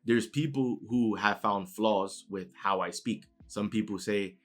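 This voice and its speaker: A man's voice: on the low side, a little slow. A man from New York City with a New York accent, giving a conversational motivational talk and often checking in with "right?". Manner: conversational, keeps adding "right?" tag questions. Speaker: motivational speaker.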